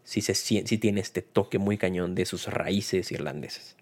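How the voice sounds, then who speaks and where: A man with a Mexican accent and a slightly low-pitched voice hosts a film review. He talks casually and without a script, in colloquial, conversational phrasing. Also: measured pace. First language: Spanish. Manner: conversational, colloquial, retells scenes with acted-out quotes. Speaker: film podcast host and reviewer.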